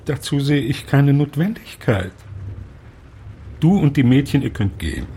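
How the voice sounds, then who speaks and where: An actor playing a middle-aged husband and father in a period radio drama, dry, sardonic and measured, with a husky voice. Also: mid-range voice, expressive, warm tone. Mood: dry teasing.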